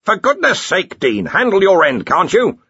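A man voicing a domineering old wizard who argues impatiently and booms, in a storybook reading. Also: big pitch swings, normal speed, bright, forceful tone, husky, no echo, highs cut off, exaggerated voice. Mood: impatient, blustering. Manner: argumentative, booming, impatient. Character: blustering, domineering old male wizard, head of faculty.

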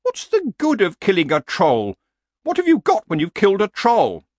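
Man voicing a fretful talking horse, pitched high. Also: wildly swooping pitch, normal speed, darkish tone, no echo, clean studio sound, heavily acted. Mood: anxious. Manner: plaintive, reasoning, persuading. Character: talking horse, fretful, nagging, sensible companion.

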